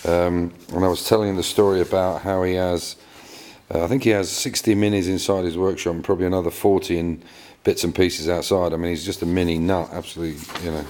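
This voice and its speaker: Deep-voiced English man, rambling. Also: little pitch movement, quick pace, darkish tone. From England. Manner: rambling, repeats words, many fillers. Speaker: car restorer vlogger. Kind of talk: casual workshop vlog. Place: garage workshop. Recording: echoey garage with faint noise.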